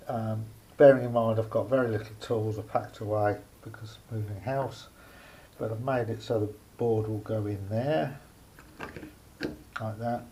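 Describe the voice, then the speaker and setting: A man giving a casual, unscripted hands-on demonstration as a hobbyist, speaking haltingly with pauses in mid-phrase. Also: lowish voice, fairly even intonation, dark tone, low-quality recording. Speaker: hobbyist showing a homemade radio aerial project. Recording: faint background noise, amateur camera recording.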